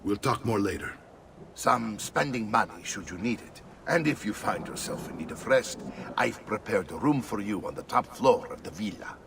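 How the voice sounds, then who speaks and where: An actor playing a jovial, blustering middle-aged Italian uncle in a video game, with an Italian accent and a hearty, theatrical manner. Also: male voice, lowish voice, lively intonation, moderate pace, vocal fry, a bit bright, a little hiss or hum. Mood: earnest. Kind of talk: video game cutscene acting.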